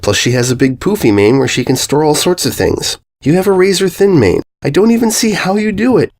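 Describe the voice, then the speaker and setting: A man reads as a grumpy grown pony mare in a storybook tale. The delivery is snippy and incredulous, with lively swings of pitch. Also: fairly quick. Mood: exasperated. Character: exasperated, grumpy adult cartoon pony mare.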